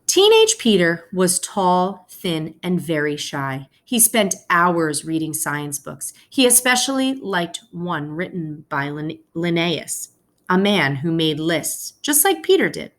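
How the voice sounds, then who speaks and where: A woman's voice: on the low side, big pitch swings, takes it slowly. A woman teacher speaking to students as she reads a children's picture book aloud, explaining things informally and phrasing carefully.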